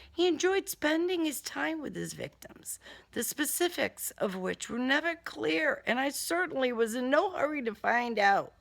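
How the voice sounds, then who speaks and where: A woman narrates a suspenseful first-person thriller. Her voice is fairly high with a heavy creak. She goes slowly, breaking the story into short, deliberate phrases for drama. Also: a bit bright. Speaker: author reading own novel.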